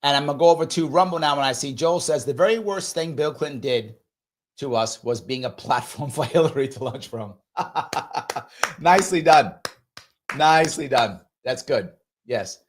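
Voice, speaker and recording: A man's voice: medium pitch, normal speed. A man hosting a live talk show, reading viewer comments aloud and arguing his points emphatically, often tagging "right?" onto his sentences. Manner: emphatic, conversational, repeats himself, frequent "right?". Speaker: host.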